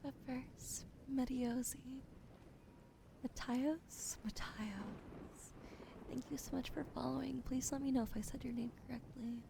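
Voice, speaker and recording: A woman whispering softly and sleepily on an ASMR livestream. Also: high-pitched, fairly even intonation, gravelly, noisy background. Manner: soft, slow, sleepy whispering. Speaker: ASMR streamer.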